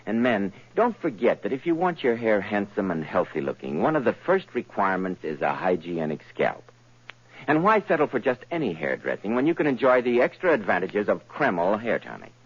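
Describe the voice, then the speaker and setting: A man's voice: on the low side, huge pitch swings, fairly quick, slightly rough. A man working as a radio announcer, giving a polished, emphatic sales read in a 1940s style. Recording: muffled old radio recording.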